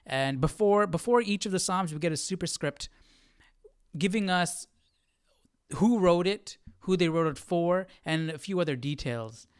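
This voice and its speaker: Clear-voiced casual man hosting a study stream. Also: high-pitched, animated, no room sound, studio quality. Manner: thinking aloud, halting, repeats phrases. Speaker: host of a Bible study live stream. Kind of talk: casual, informal teaching commentary.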